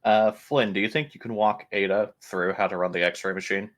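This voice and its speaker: Fairly low-voiced man chatting as player. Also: steady tone, normal speed, a bit bright. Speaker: roleplaying game player. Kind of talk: casual chat.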